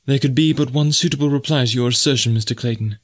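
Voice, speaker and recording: A man takes on the part of a proud young American woman in an adventure tale. The voice is lifted high, formal and full of feeling, with wounded dignity. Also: expressive, fairly quick, slightly rough, no room sound, clean studio sound. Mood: icy, offended.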